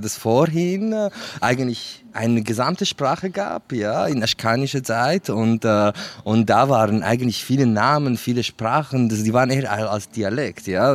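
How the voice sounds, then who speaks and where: A man, talking as a guest in a casual, hesitant way with many fillers and laughs. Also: medium pitch. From Kurdish region of Iran. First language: Kurdish. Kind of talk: casual interview talk.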